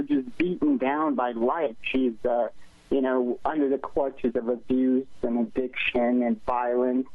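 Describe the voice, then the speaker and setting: A man's voice: mid-range voice, creaky, dry recording. A man from Sri Lanka, a film director, talking earnestly in a radio interview with many hesitant fillers. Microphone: telephone. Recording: dry tinny phone line.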